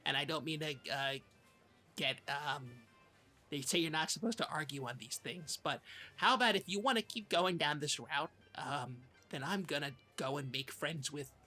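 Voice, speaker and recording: A man's voice: on the high side, moderate pace, bright, forceful tone, faint music behind. A man playing a flustered, conflict-avoiding small-town podcast co-host, stammering and trailing off in fussy comedy chat. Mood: flustered, exasperated.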